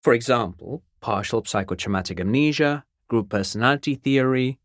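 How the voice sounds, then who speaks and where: An actor playing a chatty, courteous amateur academic in a video game, explaining things precisely like an eager enthusiast. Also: male voice, mid-range voice, normal speed, no room sound, clean studio sound, lightly acted. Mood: earnest, scholarly. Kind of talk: game character dialogue.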